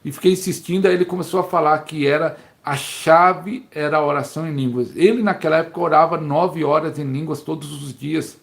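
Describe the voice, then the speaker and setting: Brazilian pastor, middle-aged man, fervent storytelling sermon, slightly high pitch, recorded at home. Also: brisk, gravelly, few pauses. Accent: Brazilian Portuguese. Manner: fervent storytelling, repeats phrases for emphasis. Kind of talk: sermon-style teaching. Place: home, neighbor knocking heard.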